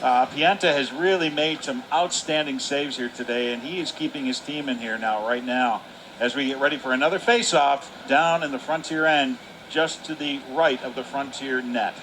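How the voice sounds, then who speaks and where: A man working as a hockey colour commentator, with a very bright, forceful voice. He analyses play off the cuff and leans on repeated words for emphasis. There is faint rink noise behind him. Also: mid-range voice, normal speed, rough recording. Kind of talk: unscripted sports analysis. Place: indoor ice hockey arena.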